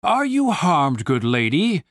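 A narrator voicing a stern, righteous male commander with a booming, formal and pompous manner in an audiobook. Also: sing-song, slow pace, no echo, studio quality, heavily acted.

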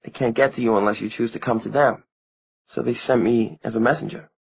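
A man reading an audiobook who voices a small, girlish teenage vampire girl with a soft, breathy, eerily sweet manner. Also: medium pitch, steady tone, brisk, lo-fi sound, voice pushed up. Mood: ominous.

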